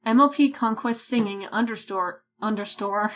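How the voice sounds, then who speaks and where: A woman's voice: mid-range voice, unhurried. A woman reading a scripted announcement as a voice-over, foul-mouthed and self-mocking, often stumbling over her lines. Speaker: voice-over reader for a casting call. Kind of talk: scripted announcement with blooper outtakes.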